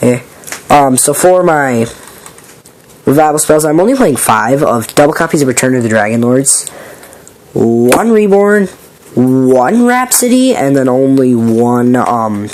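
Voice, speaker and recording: A man who is sick with a raspy voice, casually walking through his card game deck in a rambling, filler-heavy, apologetic way. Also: mid-range voice, slight background noise.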